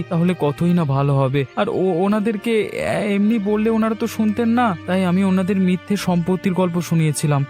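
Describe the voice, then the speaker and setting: Man acting village cowherd boy, fairly high voice, kind, earnest, cartoon dialogue, soft music behind. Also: close to an everyday voice. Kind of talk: cartoon story dubbing.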